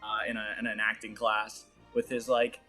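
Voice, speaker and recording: Casting: man, native Portuguese speaker, bright and forward tone, loose rambling delivery with fillers. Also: fairly low pitch, completely flat delivery, normal speed, faint music behind. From Boston area, USA. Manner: rambling, filler-heavy, laughs often. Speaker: actor, interview guest. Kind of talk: casual interview chat.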